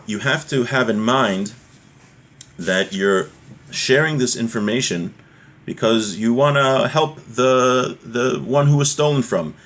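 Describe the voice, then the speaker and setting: A man's voice: medium pitch, takes it slowly, fairly bright tone. A man giving an informal religious lesson, reading and explaining as he goes and putting rhetorical questions to the listener. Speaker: religious teacher.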